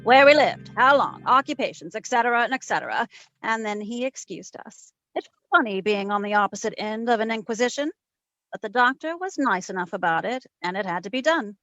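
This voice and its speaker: A woman playing a sharp, wry private investigator in an old-time radio detective drama, narrating and trading teasing endearments. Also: a little high, lively intonation, fairly quick, dry recording, dull audio, soft background music. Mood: wry storytelling.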